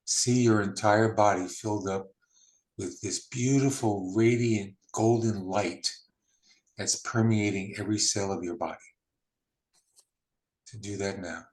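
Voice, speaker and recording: Slow-spoken man guiding a meditation. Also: on the low side, fairly even intonation, poor audio. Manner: slow, soothing, with long pauses. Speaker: meditation guide. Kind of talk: guided healing meditation.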